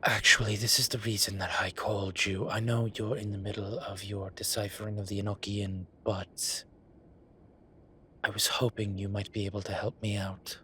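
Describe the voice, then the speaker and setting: A man playing an ancient, bookish incubus demon professor in an audio roleplay, dry and exasperated, with halting, sighing delivery. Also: lowish voice, flat delivery, bright, forceful tone, slight background noise. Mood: sheepish pleading. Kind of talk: audio roleplay acting, intimate one-sided dialogue.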